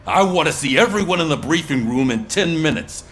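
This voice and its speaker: A no-nonsense police sergeant in a video game. He commands in a fairly high, very animated voice, with faint noise behind him. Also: a little fast. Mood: stern, commanding. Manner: authoritative, barking orders. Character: gruff, commanding police sergeant, middle-aged man.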